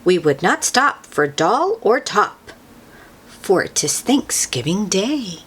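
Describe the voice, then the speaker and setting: Female children's read-aloud host: unhurried, lively pitch, a touch breathy, sing-song and engaging the listener. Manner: sing-song, asks the listener questions with soft exclamations. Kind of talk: expressive picture-book read-aloud for young children.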